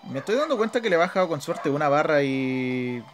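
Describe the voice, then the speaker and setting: A Chilean man with a fairly high voice hosts a gameplay video. He comments casually as he plays, in informal, slangy speech, often muttering to himself. Game music plays behind him. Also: sing-song, a little fast. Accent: Chilean Spanish. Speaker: gaming video host.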